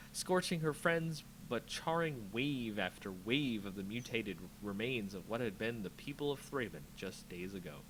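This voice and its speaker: Guy doing dramatic fantasy narration, very expressive mid voice, little bit of background noise. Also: mid-range voice, poor audio. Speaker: host reading a story aloud.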